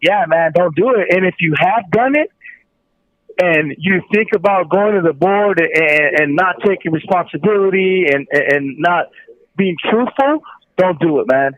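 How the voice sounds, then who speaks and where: Over a narrow, tinny telephone line, a man with a high-pitched voice gives a casual, earnest testimonial. He repeats short phrases for emphasis, and the sound is dry with no room around it. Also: normal speed, a bit bright, dry recording. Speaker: guest calling from prison. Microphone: telephone handset. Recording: tinny prison phone line.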